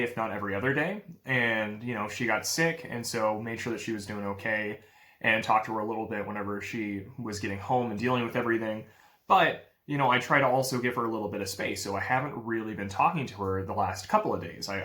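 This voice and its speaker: A man's voice: on the low side, bright, forceful tone. A young man talking casually to camera in a vlog, upbeat and rambling, with many fillers. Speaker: real estate agent vlogger.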